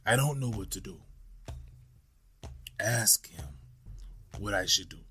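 A man voicing a weary guard who barks orders, then pleads in a hushed voice. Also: lowish voice, lively intonation, takes it slowly, a little hiss or hum, full character voice. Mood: pleading. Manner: barking then hushed and secretive. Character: tired, desperate palace guard, barking then whispering.

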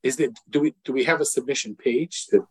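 An older man hosting an interview podcast, talking in a warm, effusive way with many restarts and fillers. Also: medium pitch, fast talker, husky, no room sound. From lived in Israel fifty years. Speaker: podcast host. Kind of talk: casual interview chat.

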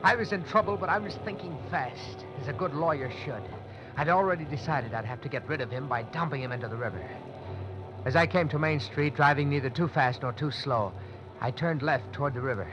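An actor playing a nervous, desperate young college student, urgent and tense in a vintage radio drama. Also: male voice, a little high, brisk, highs cut off, close to an everyday voice. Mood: tense narration. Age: young adult. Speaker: radio drama lead and narrator. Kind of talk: 1940s-50s radio acting with first-person narration.

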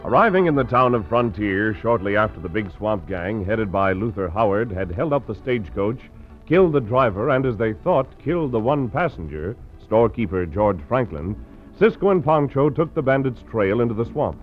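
A male announcer narrating in classic radio style with a smooth, dramatic delivery. His voice rises and falls with lots of life. The sound is muffled vintage radio with faint organ music behind. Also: lowish voice, sing-song, normal speed.

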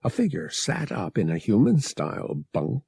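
A man's voice: mid-range voice, huge pitch swings, moderate pace, gravelly, mellow, slightly rough, no room sound, clean studio sound. A man reading an adventure novel aloud as dramatic scripted narration. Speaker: audiobook reader.

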